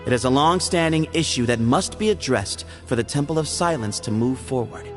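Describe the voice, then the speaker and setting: An actor playing a solemn, pragmatic temple heir in a video game, speaking in a formal, measured way. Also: male voice, medium pitch, expressive, soft background music, natural voice. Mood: firm, formal.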